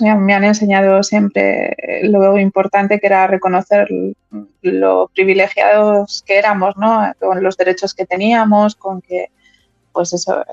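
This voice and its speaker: A woman's voice: mid-range voice, creaky, darkish tone, no room sound, highs cut off. A woman in her fifties from Madrid, answering interview questions as a political candidate, often repeating words and restarting her phrases. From Madrid, Spain. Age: 50s.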